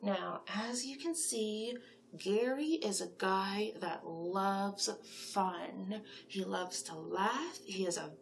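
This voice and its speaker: A woman's voice: mid-range voice, slow pace, creaky, a little hiss or hum. A woman playing a chatty, encouraging monster matchmaker in a confiding, gushing one-sided ASMR roleplay. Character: chatty, encouraging female monster matchmaker pitching candidates to a client.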